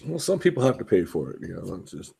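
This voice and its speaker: A man's voice: deep voice, very animated, fast talker, vocal fry, mellow, slightly rough. A man chatting casually in a live stream group call, rambling and joking and swearing freely.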